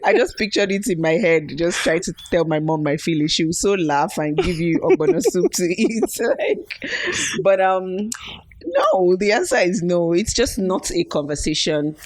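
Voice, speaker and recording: A woman's voice: lowish voice, very animated, moderate pace, no room sound. Woman, interview guest; thoughtful, laughs easily, dry close mic. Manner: reflective, laughs often, trailing restarts. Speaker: podcast guest. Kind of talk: casual interview talk.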